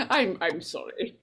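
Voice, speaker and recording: A woman playing a strange, fretful and possessive character, halting and pleading in melodramatic 1940s radio horror style. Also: a little high, heavily acted. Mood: timid reproach.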